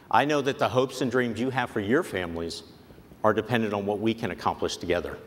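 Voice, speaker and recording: Executive keynote: man, 40s, fairly low voice, persuasive and earnest, stage headset mic in a large echoing convention hall with a faint rumble. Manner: measured, earnest, persuasive delivery. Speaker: company executive giving a keynote. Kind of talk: prepared convention speech. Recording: long hall reverb, faint background noise.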